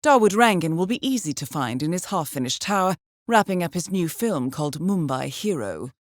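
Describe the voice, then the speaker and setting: A woman playing a composed, dry-witted intelligence handler in a video game, speaking in a measured, formal, understated way as she briefs an assassin. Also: on the low side, big pitch swings, no echo. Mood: businesslike, urgent. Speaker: mission handler. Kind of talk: scripted video game voice acting, mission briefing.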